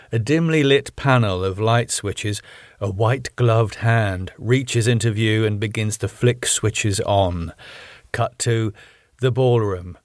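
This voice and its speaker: A man reading scripted stage directions as narrator, in measured, deliberate and dramatic phrases. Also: medium pitch, no room sound, clean studio sound. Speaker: narrator reading his own sitcom script.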